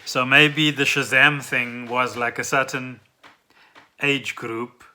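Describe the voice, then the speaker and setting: Bright-voiced South African man chatting. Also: mid-range voice, fairly even intonation, slow. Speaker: podcast co-host. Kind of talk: casual unscripted podcast chat.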